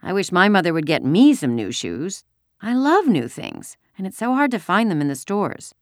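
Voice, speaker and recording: A young girl acted by a woman reader in a children's storybook, lifted in pitch and very melodic. She sounds imaginative and helpful, with a slightly sophisticated, persuasive flair. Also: very animated, normal speed, no room sound, clean studio sound. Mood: wistful. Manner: sophisticated, bright persuasive delivery. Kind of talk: acted children's audiobook dialogue.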